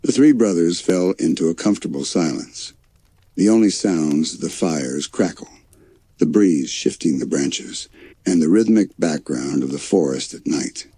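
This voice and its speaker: Guy reading a bedtime story, soft mellow tone, gentle and measured, very dry close sound. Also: low-pitched, animated, slightly rough, no room sound. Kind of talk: scripted narration, soothing bedtime storytelling.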